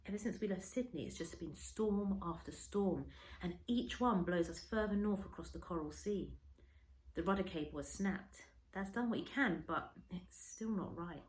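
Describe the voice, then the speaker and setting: A woman reading a children's story aloud like a teacher, with expressive, varied emphasis. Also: fairly low pitch, normal speed. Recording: roomy, echoey indoor sound.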